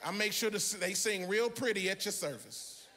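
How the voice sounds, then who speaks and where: A middle-aged American man preaching an animated, emphatic sermon as a pastor, theatrical, into a handheld microphone in a large church auditorium. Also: high-pitched, moderate pace. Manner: emphatic, theatrical, often shouting and half-singing.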